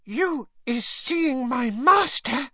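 A man voicing a tearful, devoted female house-elf who squeaks and wails in broken grammar, crying. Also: expressive, very slow, sharp tone, breathy edge, dry recording, muffled recording, exaggerated voice. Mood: breathless. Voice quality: squeaky, wailing. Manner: broken grammar, sobbing outbursts.

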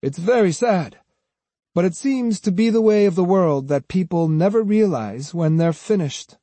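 A man's voice: high-pitched, normal speed, mellow, no room sound, pitched above the performer's own voice. A man voicing an arrogant, boastful young swordsman with a pompous, sneering and emphatic delivery in a storybook reading. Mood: snide, warning. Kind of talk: dramatised audiobook storytelling.

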